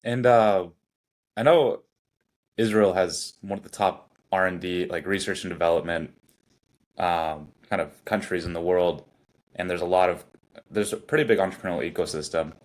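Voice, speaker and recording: A man's voice: deep voice, fairly even intonation, moderate pace. A man hosting a podcast interview, asking long questions padded with fillers. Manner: hesitant, filler-heavy questions. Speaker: podcast host. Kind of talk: casual interview.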